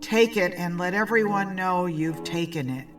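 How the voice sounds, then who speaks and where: An actress playing a weary old desert sorceress in an audio horror drama, speaking in a terse, deliberate way. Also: female voice, lowish voice, recorded from a distance, music in the background, lightly acted. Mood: weary, commanding. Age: older. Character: weary old desert sorceress crone who eats snakes.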